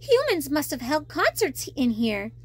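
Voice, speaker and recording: Eager, headstrong mermaid princess, played by a woman, high and very animated, storybook performance. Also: a little slow, exaggerated voice. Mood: excited. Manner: excited, headstrong. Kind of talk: children's storybook read-aloud.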